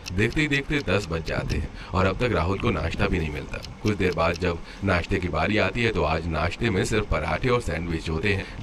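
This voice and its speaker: A man narrating a scripted family story as the storyteller. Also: low-pitched. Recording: clear background noise under voice.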